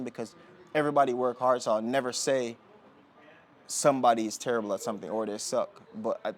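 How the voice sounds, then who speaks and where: A young man from Jamaica talking as a podcast guest, relaxed and rambling with many fillers and restarts. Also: medium pitch, a little hiss or hum. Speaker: guest, Olympic sprinter. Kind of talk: casual interview talk. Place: indoor podcast setting.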